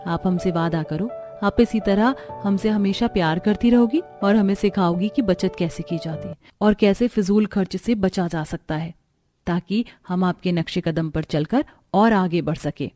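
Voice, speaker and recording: A woman's voice: mid-range voice, moderate pace, mellow, faint music behind, close to an everyday voice. Woman acting a humble, earnest young daughter-in-law.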